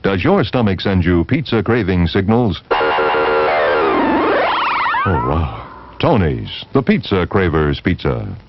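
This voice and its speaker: Deep voiced guy announcer in an old snack ad, loud music behind, muffled. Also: very animated, slow, long pauses. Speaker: commercial announcer. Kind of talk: slow vintage concession-stand ad read. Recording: dull old ad soundtrack.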